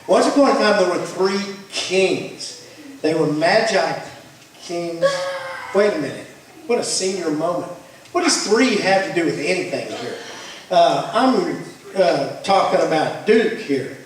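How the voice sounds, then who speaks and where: A man tells a story live as puppet show narrator. His voice is fairly high and carries through a very echoey hall from a distance. He rambles, bumbles and teases as he ad-libs. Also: lively intonation, a little slow. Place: church hall.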